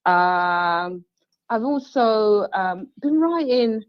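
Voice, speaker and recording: Brief: British woman guest, slow calm spiritual talk, muffled lo-fi call line. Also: mid-range voice, smooth. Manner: slow, calm, gentle, with fillers. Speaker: guest poet and singer.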